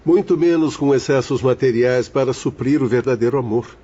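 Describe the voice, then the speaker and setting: A man's voice: medium pitch, moderate pace, dark tone, dull audio, a little hiss or hum, lightly acted. An actor playing a devout, earnest man in an audio drama, speaking in a formal, moralizing way. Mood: grave, moralizing.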